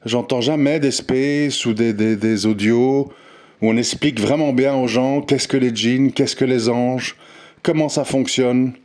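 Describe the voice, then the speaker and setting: A man speaking at a mid pitch in an unscripted monologue. He is earnest and insistent, often stalls and repeats words before he presses his point. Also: a little mellow. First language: not Arabic. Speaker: religious commentator.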